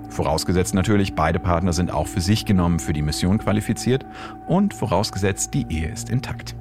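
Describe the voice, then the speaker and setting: A man hosting with scripted, explanatory science narration. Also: sits low. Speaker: host and science commentator.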